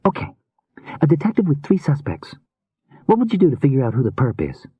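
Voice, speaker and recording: A man's voice: a little high, sing-song, brisk, slightly rough, no echo, dull audio, voice pushed up, heavily acted. A man voicing an inquisitive, quirky young woman with slangy, eager, casual speech in an audiobook. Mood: curious, pressing. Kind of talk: audiobook character dialogue.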